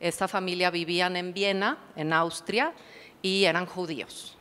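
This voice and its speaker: A woman's voice: on the low side, fairly even intonation, a little slow, fairly bright tone, clean tone. A woman psychotherapist giving a conference lecture, explaining thoughtfully and posing rhetorical questions to the audience. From Mexico. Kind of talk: academic conference talk. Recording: long hall reverb.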